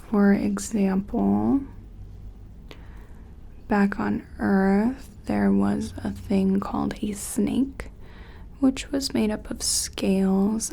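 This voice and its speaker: A woman performing a gentle ASMR roleplay as an examiner, soft-spoken and often whispering, explaining things in a tentative, clinical way. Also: medium pitch, vocal fry, husky.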